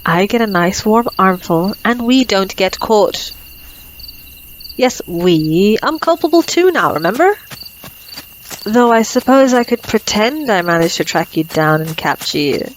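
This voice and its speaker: A woman's voice: medium pitch, animated, slow, long pauses, slight background noise, low-quality recording. A woman playing a cocky, flirtatious female vampire in an ASMR roleplay, sardonic and playful. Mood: smug, bantering. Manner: sardonic, playful, casually swearing. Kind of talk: ASMR roleplay audio drama.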